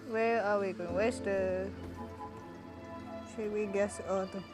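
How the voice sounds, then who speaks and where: A woman's voice: medium pitch, steady tone, slow pace. A woman doing casual livestream game commentary, mixing English with Malay. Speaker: game streamer. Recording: loud game music under voice. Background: game music.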